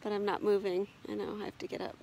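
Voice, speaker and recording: A tired middle-aged woman thinks aloud in short, unhurried phrases, narrating a casual solo camping vlog outdoors. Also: medium pitch, level tone, creaky, warm tone. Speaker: solo wilderness canoe camper vlogger. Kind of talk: casual spoken-to-camera trail vlog. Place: outdoors in forest and on river. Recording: very noisy outdoor handheld recording, rushing water.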